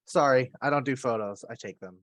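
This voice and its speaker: A woman playing a charming but evasive young male con man in a radio play, quick to turn defensive. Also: a bass voice, no room sound, clean studio sound. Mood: smooth, self-assured.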